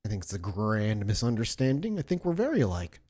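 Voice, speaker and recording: A man voicing an obsessed young female copycat killer in a tabletop role-play, defiant and evasive, halting and repeating words. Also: fairly low pitch, wildly swooping pitch, darkish tone. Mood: fixated, intoxicated.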